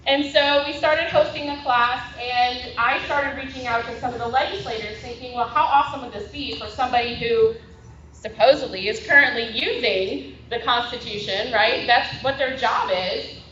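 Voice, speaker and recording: A woman's voice: on the high side, moderate pace, a little hiss or hum. A woman giving a chatty public talk to a meeting, full of fillers. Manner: chatty, many fillers, loud voice. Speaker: guest speaker. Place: meeting hall. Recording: echoey dull hall recording.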